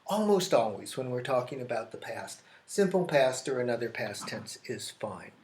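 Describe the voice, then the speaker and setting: A man's voice: medium pitch, very animated, a little mellow. American man teaching grammar, echoey room. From United States. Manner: explains slowly, repeats key phrases, restarts sentences. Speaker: English teacher. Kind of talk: classroom grammar lesson at a whiteboard. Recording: echoey classroom.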